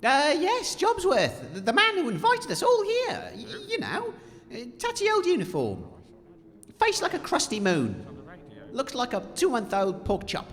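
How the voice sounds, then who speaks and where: A man plays an eager, excitable ghost-tour radio host in an audio comedy. The voice is high and swoops about animatedly, set in a reverberant hall with faint noise. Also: unhurried, exaggerated voice. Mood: mocking. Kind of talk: comedy audio drama acting.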